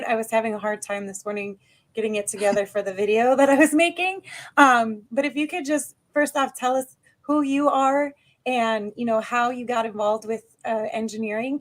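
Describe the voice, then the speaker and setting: An American woman hosts a live interview over a video call, her voice sitting a little high. She talks in an upbeat, chatty way, greeting the guest and viewers. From United States. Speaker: podcast host.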